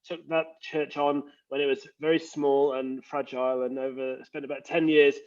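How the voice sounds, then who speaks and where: A man's voice: mid-range voice, level tone, normal speed, a little mellow, dull audio. A man with an English accent, a leadership coach explaining earnestly in a conversational interview over a video call. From England. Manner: earnest, explanatory, frequent uh fillers.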